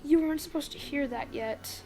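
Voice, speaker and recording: A woman's voice: high-pitched, moderate pace, darkish tone, a little hiss or hum, natural voice. A woman playing an outgoing, kind-hearted, goofy preteen boy in an audio drama, with a childlike, rambling, exuberant way of talking. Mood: sheepish.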